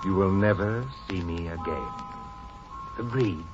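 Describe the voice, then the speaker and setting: A man's voice: deep voice, unhurried. An actor playing a gaunt, sinister man with formal, courteous, insistent speech in an old-time radio drama. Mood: coolly insistent. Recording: muffled vintage radio recording with music.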